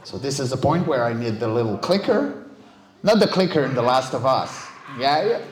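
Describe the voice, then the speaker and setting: A man's voice: medium pitch, very animated, normal speed. A man in his forties giving a casual, joking, self-deprecating talk to a live audience as a guest speaker. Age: 40s. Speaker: guest speaker, monster voice actor and vocal coach. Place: indoor venue at an evening meetup. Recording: roomy, echoing live talk venue.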